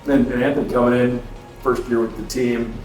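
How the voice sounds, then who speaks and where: A male sports interviewer speaks in an echoey, reverberant space, a little away from the mic. His pitch is average and he lays out long, measured questions in a conversational way. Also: little pitch movement, a little mellow, far from the mic, faint music behind. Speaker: interviewer, sports radio play-by-play host.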